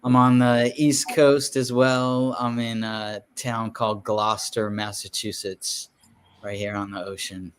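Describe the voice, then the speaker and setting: A man's voice: fairly low pitch, level tone, a little slow, slight background noise. A man introducing himself in an easygoing way on a video call. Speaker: guest. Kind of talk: casual chat.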